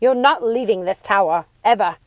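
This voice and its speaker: A woman's voice: mid-range voice, normal speed, dry recording, lo-fi sound, lightly acted. A woman voicing a theatrical, scheming witch mother from a storybook, falsely sweet, sing-song and easily exasperated. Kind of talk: storybook character voice.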